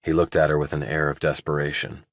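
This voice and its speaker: Very deep-voiced male audiobook narrator. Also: monotone, fast talker, dry recording, studio quality. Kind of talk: scripted narration of a crime thriller.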